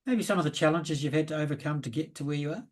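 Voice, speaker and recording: A man's voice: a little high, racing. A man hosting a podcast interview, friendly and conversational. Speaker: podcast host.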